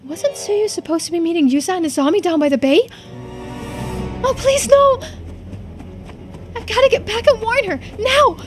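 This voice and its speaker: A woman's voice: very high-pitched, lively intonation, normal speed, pauses often, faint music behind, voice pushed up. A woman voicing an anxious, self-doubting young woman in a visual novel game, talking to herself emotionally. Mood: panicked. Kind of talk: dramatic voiced reading of visual novel game dialogue.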